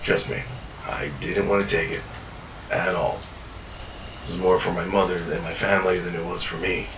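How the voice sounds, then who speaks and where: A man narrating a first-person fiction journal in a weary, world-worn way, swearing often. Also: low-pitched. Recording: echoey room, distant mic, noisy.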